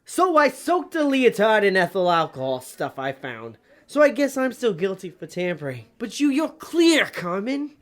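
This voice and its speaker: Man voicing a damned soul, earnest, apologetic, widely varying pitch, hesitant, audio drama. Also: very animated, moderate pace, few pauses. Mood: sheepish. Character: earnest, sheepish, soft-hearted male damned soul.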